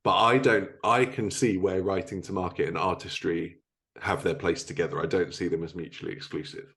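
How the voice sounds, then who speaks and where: Male podcast host with a low-pitched voice, conversational and casual interviewing. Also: fairly even intonation, a little fast, fairly bright tone.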